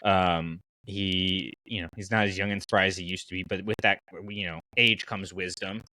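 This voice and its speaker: A man hosting a casual fan podcast, talking in an animated, rambling way as he thinks aloud. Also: sits low, normal speed, no room sound, rough recording. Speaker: podcast host.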